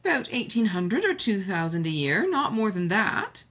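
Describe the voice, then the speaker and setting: A woman's voice: mid-range voice, big pitch swings, normal speed, a bit bright, dull audio. A woman voicing a passionate, idealistic young woman in a novel, speaking in an emphatic, formal manner. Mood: earnest.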